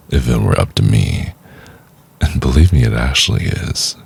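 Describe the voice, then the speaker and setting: A man acts out a domineering, contemptuous male CEO for an intimate ASMR roleplay. His voice is extremely low and heavy with gravelly fry. He speaks slowly and deliberately, often dropping into a condescending, breathy half-whisper. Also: a little mellow, dry recording, full character voice. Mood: scornful.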